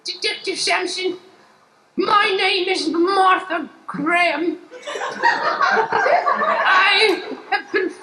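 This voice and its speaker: A woman playing a mysterious, knowing old groundskeeper in an improvised stage radio play, speaking deliberately and ominously. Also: extremely high pitch, sharp tone, full character voice. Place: theater stage before an audience. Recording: echoey stage.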